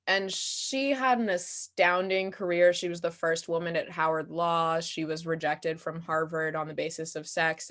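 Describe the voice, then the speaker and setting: American woman, slightly low voice, giggly and informal, casual post-show talk. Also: a bit bright. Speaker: actor and playwright answering audience questions.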